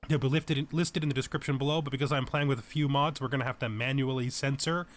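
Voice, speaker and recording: A man's voice: medium pitch, fairly bright tone. A man giving explanatory let's play commentary as a tutorial host, methodical and thorough in how he explains things. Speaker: game tutorial host, player.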